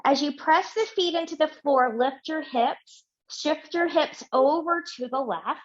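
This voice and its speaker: A middle-aged woman leading a yin yoga class, giving slow, gentle cues and affirmations while lying on a mat in a small home studio. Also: sits high, muffled recording. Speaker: yoga teacher. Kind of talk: guided yoga class instruction.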